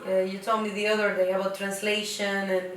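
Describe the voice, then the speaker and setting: Bright-voiced woman host, echoey room. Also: medium pitch, little pitch movement, a little fast, a little hiss or hum. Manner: chatty, guiding questions. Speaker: host, interviewer. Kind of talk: casual interview chat. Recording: echoey room, distant mic.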